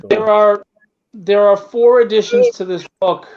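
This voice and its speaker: A man's voice: sits high, huge pitch swings, unhurried, a little mellow, dull audio. A man chatting casually as a guest about his own creative work, in an emphatic way with short repeated phrases. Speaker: comic book creator, guest. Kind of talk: casual chat promoting own book.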